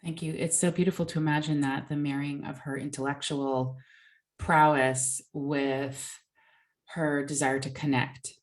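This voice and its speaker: A woman interviewing a guest, who answers her warmly and asks her questions with many "you know" fillers. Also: low-pitched, flat delivery, a little slow.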